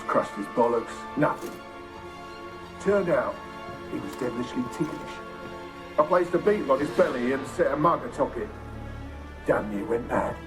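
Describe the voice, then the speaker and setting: An actor playing a rough, boastful, sadistic interrogator in a video game, telling things bluntly. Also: male voice, medium pitch, close to an everyday voice. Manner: blunt, storytelling.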